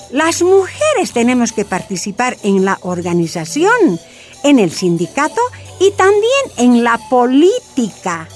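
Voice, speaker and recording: A Bolivian woman who leads miners' wives, frank and resolute. Her voice sits high and moves freely, yet she takes her time over words. It is a radio drama with soft music underneath. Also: full character voice.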